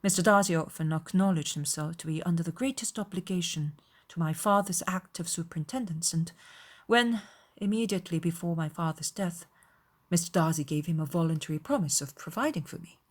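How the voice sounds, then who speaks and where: Woman as an aggrieved, husky young gentleman. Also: fairly low pitch, close to an everyday voice. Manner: measured, earnest, formal. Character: aggrieved young gentleman, smooth-talking, self-justifying, confiding. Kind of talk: dramatized audiobook dialogue.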